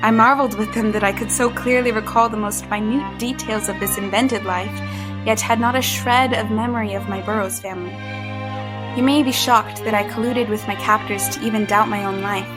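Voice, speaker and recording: A woman voicing a young woman trapped in a man's body, narrating an audio drama in a measured, introspective way. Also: mid-range voice, fairly even intonation, music in the background, close to an everyday voice, rough recording. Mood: determined. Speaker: narrator and lead character.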